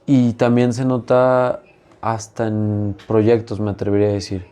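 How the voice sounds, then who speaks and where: A man hosting a conversational interview, agreeable and quick with short affirmations. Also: fairly low pitch, flat delivery, dark tone, clean tone. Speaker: podcast host.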